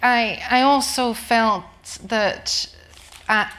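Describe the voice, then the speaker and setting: A woman with a Northern Irish accent, a writer speaking on a live panel, halting and laughing often. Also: fairly high pitch, fairly bright tone, a little hiss or hum. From Northern Ireland. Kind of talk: casual panel talk. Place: stage before a live audience.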